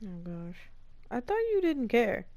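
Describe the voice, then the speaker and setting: A woman doing casual live gameplay commentary, reacting in an expressive, playful way. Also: a little high, measured pace. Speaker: streamer playing and reacting to a game.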